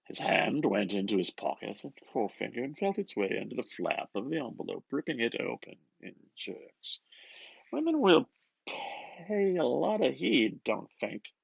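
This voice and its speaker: A man gives an expressive, theatrical reading of a literary novel, moving between murmured asides and louder phrases. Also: mid-range voice, moderate pace, creaky, husky, no room sound, highs cut off. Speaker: reader of a novel.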